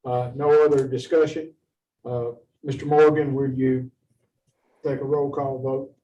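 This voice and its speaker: A man's voice: mid-range voice, flat delivery, a little slow, dark tone, highs cut off. A man acting as chairman, running a formal meeting with procedural questions and remarks. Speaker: commission chairman. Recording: distant mic, faint noise.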